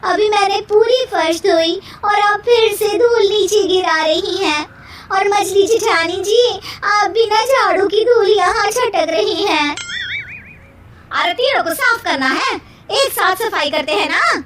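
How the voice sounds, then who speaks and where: A woman's voice: extremely high pitch, slow, a bit bright, echoey room, recorded from a distance, a little hiss or hum, pitched above the performer's own voice, exaggerated voice. A woman voicing an eager, foolish young daughter-in-law with a chirpy, whiny manner in a storybook tale.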